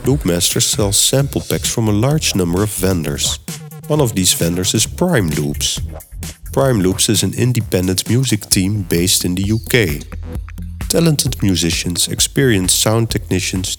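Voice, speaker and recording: Deep-voiced Dutch-accented man, host. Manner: steady, reads from a script, explains step by step. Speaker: podcast host. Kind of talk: scripted podcast narration and software tutorial.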